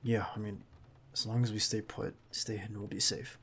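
Casting: male actor as protective bodyguard for ASMR roleplay; creaky, mid-pitched, hushed and reassuring, some background noise. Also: flat delivery. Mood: uneasy, conversational. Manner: hushed, halting, reassuring, close to the listener. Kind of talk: intimate ASMR roleplay acting.

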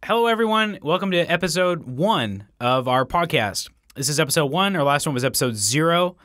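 A man in his twenties co-hosting a casual podcast, with a high nasal voice and a dry, sarcastic way of joking. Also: lively intonation, a little fast, fairly bright tone, no room sound. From California. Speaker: podcast co-host.

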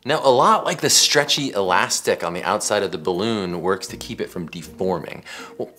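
A man's voice: fairly low pitch, fairly bright tone, a little hiss or hum. An American man in his forties hosting a science explainer, talking to camera in a casual, emphatic, conversational way. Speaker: science show host. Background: background music.

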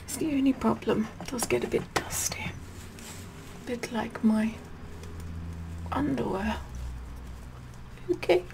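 A woman playing a sassy, vain, bossy queen in an ASMR roleplay, half-whispering in a pompous, deliberate manner. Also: fairly high pitch, gravelly, noisy background. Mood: smug, vain. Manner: pompous, deliberate, repeats words softly. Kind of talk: ASMR roleplay makeup tutorial, whispered and soft-spoken.

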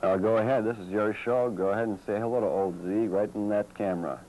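A man's voice: fairly low pitch, fast, a little mellow, slight background noise, close to an everyday voice, low-quality recording. A man playing an eager television reporter in an amateur comedy film, announcing his guest in a formal way. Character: eager male television interviewer.

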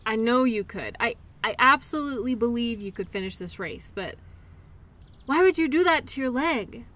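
A caring, playful girlfriend, acted by a woman for an intimate roleplay audio. Her voice is fairly high and clearly bright. She speaks in a breezy, affectionate way, as if chatting one-on-one with her partner. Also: expressive, moderate pace. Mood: protective, teasing. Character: tired, playful, caring girlfriend at a mud race.

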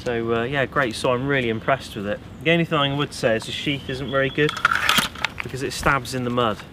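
A young British man speaks in a relaxed, enthusiastic way about his kit while standing in the forest. His voice is mid-pitched, and the outdoor camera audio carries clear background noise. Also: fairly quick. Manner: relaxed, enthusiastic gear talk. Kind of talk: casual outdoor chat. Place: woodland outdoors.

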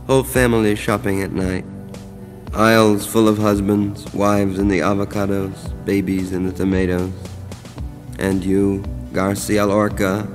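A man reciting a poem as a scripted voice-over, in a measured, contemplative manner. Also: lowish voice. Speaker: poem narrator. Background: music.

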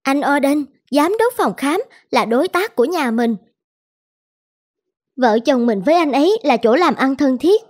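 A woman's voice: high voice, animated, a little slow, a little mellow. A woman voicing a sociable, well-off young friend in a cartoon drama, chatty. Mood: friendly, chatty.

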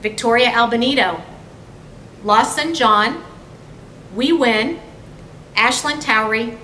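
A middle-aged woman with an American accent makes formal announcements in a bright, forceful voice. She is in an echoey room with a faint rumble. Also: slow pace. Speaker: college award presenter. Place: indoor room at a podium.

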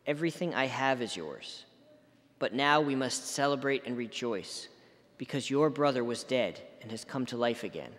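A man's voice: mid-range voice, animated, moderate pace, fairly bright tone. A middle-aged man reading scripture solemnly as a deacon at a church lectern. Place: large stone church, at a lectern. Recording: reverberant church hall.

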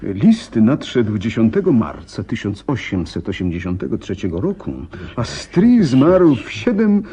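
An actor in a radio drama playing a frightened, helpless young gentleman, telling his story hesitantly and dramatically, with pauses. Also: mid-range voice, huge pitch swings, breathy edge, exaggerated voice. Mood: anxious, narrating.

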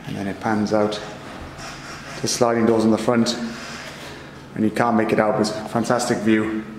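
A young man gives a brisk, upbeat sales walkthrough, talking in short clipped phrases. His voice bounces around bare, echoey rooms. Heavy building-site noise runs loud underneath, picked up by a camera mic. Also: fairly low pitch, flat delivery. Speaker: estate agent. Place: unfinished timber-frame house and outdoor building site.

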